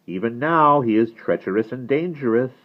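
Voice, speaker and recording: A man's voice: mid-range voice, animated, moderate pace, dull audio, pitched above the performer's own voice, natural voice. A man voicing a stern, protective guardian in a dramatic story reading, firm and grave. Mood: urgent warning. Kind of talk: dramatic audiobook-style character reading.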